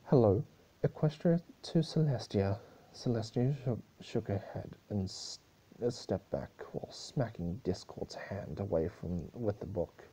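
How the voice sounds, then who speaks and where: A man reading a story aloud as a dramatic amateur narrator, halting now and then over the text. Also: lowish voice, warm tone, slightly rough, low-quality recording.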